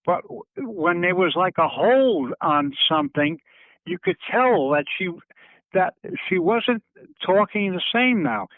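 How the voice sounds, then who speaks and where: An older man speaking reflectively in a phone interview, halting and circling back as he searches for words, heard over a narrowband telephone line. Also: sits high, very animated, no room sound. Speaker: interviewee recalling family history. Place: on a telephone call. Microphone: telephone handset.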